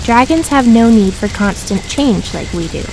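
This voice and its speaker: A woman's voice: on the high side, normal speed. A woman playing a skeptical, blunt young woman in a fantasy audio drama, talking in a challenging way. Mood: accusing, insistent. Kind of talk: audio drama character acting. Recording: steady noise behind the voice.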